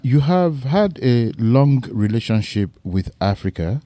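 A man hosting a radio interview, introducing his guest and asking questions in a formal, unhurried way. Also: medium pitch, very animated, mellow, dry recording. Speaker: radio show host.

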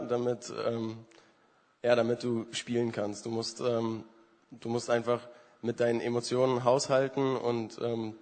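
A young man giving an informal personal testimony, hesitant and searching for words. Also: medium pitch. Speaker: church member giving a testimony. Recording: reverberant hall.

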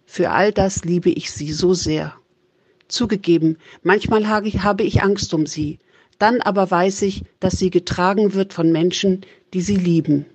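An older woman reading a carefully written voice message in a measured way, listing words one by one. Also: lowish voice, darkish tone, husky, no echo. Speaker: mother sending a voice message. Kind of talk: recited voice message. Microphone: phone voice memo.

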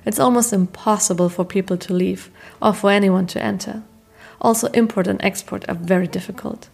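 Gravelly-toned woman narrating news. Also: mid-range voice, steady tone. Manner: measured, informative. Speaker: documentary narrator.

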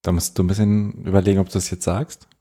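A man interviews a guest in a casual, conversational way. His voice sits fairly low and has a soft, mellow tone. He speaks informally and likes to tease. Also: level tone, fast. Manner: informal, teasing. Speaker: podcast interviewer.

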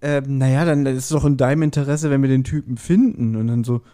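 A man hosting a podcast, casually retelling a story in an animated way and acting out short quotes. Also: mid-range voice, fast talker. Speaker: podcast host. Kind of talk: casual chatty episode recap.

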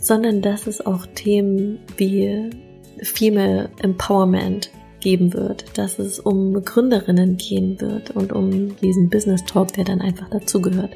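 A woman's voice: medium pitch, flat delivery, a little slow, creaky, dark tone, soft background music. A woman hosting a podcast, speaking with effusive enthusiasm as she introduces her guest. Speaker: podcast host.

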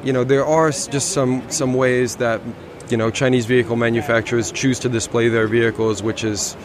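A man's voice: mid-range voice, flat delivery, brisk, a crowd in the background. A man casually talking about cars on a motor show floor, rambling a little with restarts. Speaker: car show reviewer. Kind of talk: casual walkaround chat. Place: busy motor show hall.

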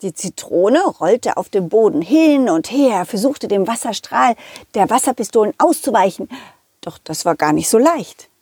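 A woman's voice: medium pitch, sing-song, normal speed, mellow, breathy edge, no echo, clean studio sound. A woman voicing a cheerful, trusting male dog who chattily tells children's stories in a childlike, direct way. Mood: excited storytelling. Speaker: storytelling puppet character, host. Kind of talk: lively children's audio story narration.

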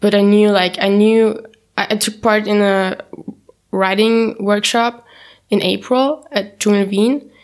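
A woman's voice: mid-range voice, fairly even intonation, measured pace. Chatty young woman guest. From Turkey, raised in Austria. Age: young adult. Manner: chatty, laughs often, restarts and quotes herself. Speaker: guest, poetry slammer. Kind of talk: casual interview chat.

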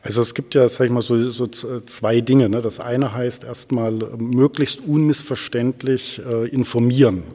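A man with a dark, relaxed voice speaks as an expert guest in an interview. He explains discursively, often checking in with short tag questions. Long reverb hangs around his voice. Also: medium pitch, moderate pace, few pauses. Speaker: interview guest, transformation researcher.

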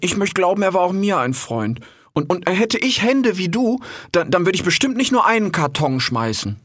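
A man's voice: sits high, sing-song, normal speed, a bit bright, dry recording, studio quality, exaggerated voice. A man voicing a shy, earnest young grey fox who stammers.

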